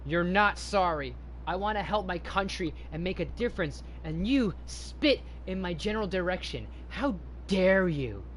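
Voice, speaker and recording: Proud young woman inventor played by a man, earnest and defiant, fairly high voice, story reading. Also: expressive, normal speed, a bit bright, slight background noise. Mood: hurt, defiant.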